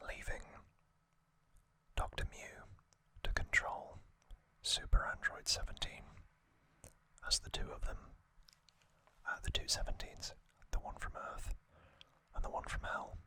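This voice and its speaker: A man hosting an ASMR recap in a breathy whisper, musing casually and giving his own opinions as he goes. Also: slow pace, long pauses, sharp tone. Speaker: ASMR video host.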